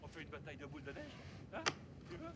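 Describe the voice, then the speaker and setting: A man's voice: a little high, very animated, fast. An actor playing a father, talking tenderly and playfully to his small child. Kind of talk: film drama dub. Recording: film soundtrack with loud music.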